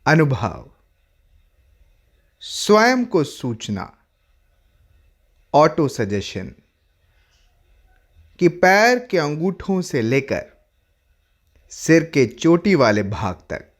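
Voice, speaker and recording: A man working as a yoga instructor, leading a guided relaxation in short instructive phrases with long pauses between them. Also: a little high, very animated.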